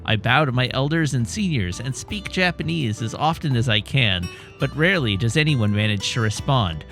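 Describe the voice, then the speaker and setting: A man's voice: mid-range voice, expressive, normal speed, fairly bright tone, faint music behind, pitched below the performer's own voice. Man putting on a pompous, boastful anime fanboy. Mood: smug, earnest. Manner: pompous, self-important. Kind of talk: mock-serious reading of an internet post.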